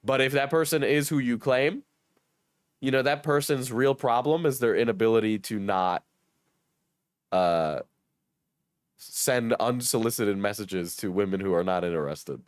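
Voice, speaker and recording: A hoarse young man with a cold, joking and swearing casually as he reads his chat on a livestream. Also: medium pitch, measured pace, long pauses, dry recording. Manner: joking, self-mocking, swears. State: hoarse, sick. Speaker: streamer reading chat.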